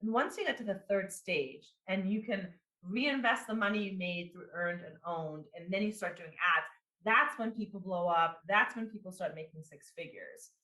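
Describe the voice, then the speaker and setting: A woman in her forties speaks loosely as an interview guest, trailing through fillers and restarted phrases. Her voice is bright and forward. The recording carries a noticeable room echo. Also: medium pitch, moderate pace. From immigrant. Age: 40s. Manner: rambling, with many fillers and restarts. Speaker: guest, business coach and marketing executive. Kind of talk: casual interview talk.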